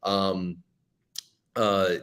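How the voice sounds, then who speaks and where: A man's fairly low voice, talking casually as a podcast guest. He gives practical advice in a chatty way, with many ums and restarts. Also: level tone, extremely slow, fairly bright tone, clean tone.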